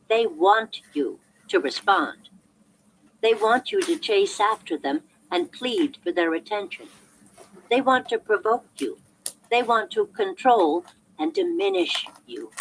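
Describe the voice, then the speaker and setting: A woman reading a self-help audiobook in a measured, clearly articulated and emphatic way. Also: mid-range voice, a little hiss or hum, rough recording. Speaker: audiobook narrator.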